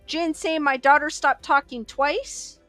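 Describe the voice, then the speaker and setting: Bright high-voiced woman chatting live. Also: fairly even intonation, measured pace, no echo, faint music behind. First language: Korean as early childhood language. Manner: chatty, laughs often. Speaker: livestream host. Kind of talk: casual chat reading live comments.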